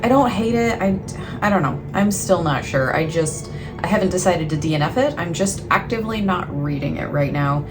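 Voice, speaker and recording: A woman's voice: on the low side, expressive, a little fast, music in the background. A woman chatting casually to camera as a book vlogger, in a rambling, self-deprecating way, often trailing off mid-thought. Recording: clearly noisy, ambient background sound.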